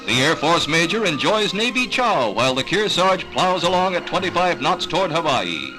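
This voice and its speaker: American guy doing 60s newsreel narration, higher voice, dramatic announcer style, loud music under him. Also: sing-song, low-quality recording. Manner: dramatic, measured announcer delivery. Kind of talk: scripted 1960s newsreel narration.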